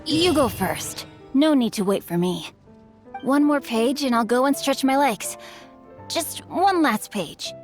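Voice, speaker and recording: A woman plays a refined young gentleman and bookworm from a video game. The voice sits a little high, with courteous, formal phrasing and calm self-assurance. Faint music plays behind. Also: unhurried. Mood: absorbed, breezy. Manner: polite, formal, eloquent. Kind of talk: video game character dialogue.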